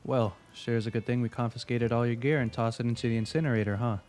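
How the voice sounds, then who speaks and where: A man's voice: medium pitch, fairly even intonation, darkish tone, soft background music, close to an everyday voice. A man playing a smug veteran investigator in an audio drama, wry and probing as he interrogates. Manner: wry, needling, deliberate.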